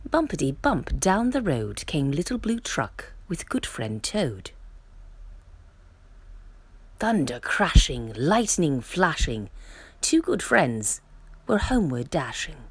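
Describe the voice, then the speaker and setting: A woman reading a children's picture book aloud with expressive, theatrical delivery. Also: deep voice, slow, pauses often, husky. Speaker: storytime reader.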